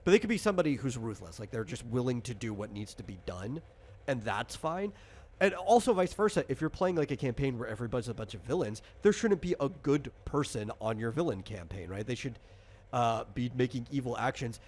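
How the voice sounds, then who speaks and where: A man's voice: mid-range voice, animated, brisk, darkish tone. A man casually walking a player through a tabletop game as game master, rambling, explanatory and freely opinionated.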